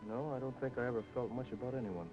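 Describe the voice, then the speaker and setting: An actor playing a troubled, world-weary lover in film noir style, talking in a terse, guarded way. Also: male voice, mid-range voice, fast, close to an everyday voice. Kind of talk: film noir melodrama acting, mid-century. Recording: muffled old film soundtrack with music.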